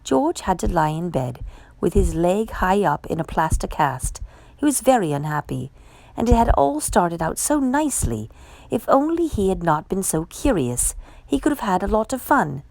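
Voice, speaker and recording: A woman reading a children's picture book aloud with measured, emphatic storyteller phrasing. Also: lowish voice, sing-song, vocal fry, darkish tone, no echo, low-quality recording. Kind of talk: expressive children's read-aloud narration.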